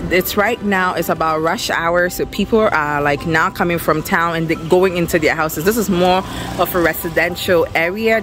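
A young woman vlogs in a casual, excited way, talking straight to her viewers. Her voice sits on the low side for a woman. Music plays clearly behind her as she speaks into a handheld camera. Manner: excited, upbeat. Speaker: vlogger and small business owner. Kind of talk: casual vlog chat to viewers. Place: outdoor storefront and bare empty shop room.

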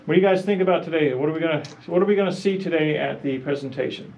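A man's voice: on the high side, rapid-fire, vocal fry, dull audio, a little hiss or hum. A middle-aged man hosting a vlog, chatting enthusiastically to a handheld camera inside a museum. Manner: upbeat, enthusiastic. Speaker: vlogger host. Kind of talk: casual introduction chat. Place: museum gallery, indoors.

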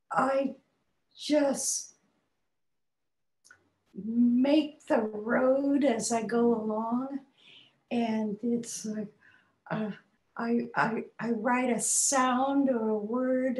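Older woman author, slow and halting, many restarts; echoey home video-call sound. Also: a little high, darkish tone. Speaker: author and guest. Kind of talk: conversational interview answers. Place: home study with bookshelves, indoors.

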